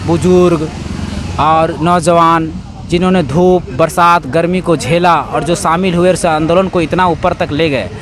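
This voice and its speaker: A man's voice: a little high, noisy background. A man from Jharkhand with a Jharkhandi accent answers interview questions as an activist, explaining emphatically with repeated phrases and rhetorical questions. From Jharkhand, India.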